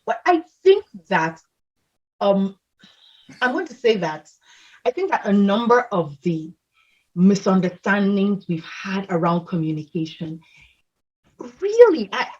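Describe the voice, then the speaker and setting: A woman speaks reflectively as a guest, turning to her spouse now and then. Her voice is a bit husky at mid pitch, and the recording sounds dull and lo-fi. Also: big pitch swings, a little slow, pauses often, darkish tone. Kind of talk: casual conversation.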